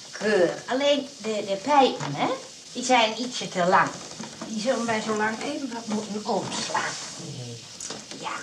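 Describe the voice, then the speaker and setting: A woman playing a nervous, well-meaning, bustling nurse who fusses and coaxes in a radio play. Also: mid-range voice. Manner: fussy, coaxing. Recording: noisy old radio play recording.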